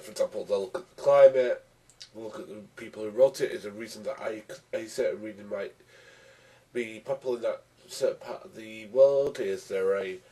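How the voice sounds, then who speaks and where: Hoarse young man with a cold, argumentative vlogger, dark tone, set back from the mic. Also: on the low side, recorded from a distance, low-quality recording. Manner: frequent restarts, argumentative. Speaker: YouTube commentator. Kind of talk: casual rebuttal vlog.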